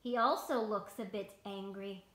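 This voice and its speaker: A woman's voice: mid-range voice, steady tone, moderate pace, background noise. A woman in her thirties talking to young children as a bedtime story host, in simple words with questions to the listener. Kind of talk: storytime talk to young children, read-aloud. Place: indoors, small bedroom. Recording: roomy, echoey home recording.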